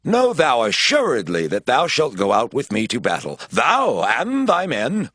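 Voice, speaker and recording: Husky, commanding king. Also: medium pitch, wildly swooping pitch, measured pace, a bit bright, no echo, heavily acted. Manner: authoritative, declamatory. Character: commanding, trusting foreign king. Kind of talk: dramatized scripture acting.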